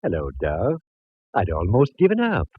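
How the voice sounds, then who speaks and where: An actor playing a fussy, affectionate gentleman friend with a theatrical, punning manner, from a dull old radio broadcast. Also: fairly low pitch, wildly swooping pitch, normal speed, husky, dry recording, faint music behind, exaggerated voice. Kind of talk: 1940s radio acting.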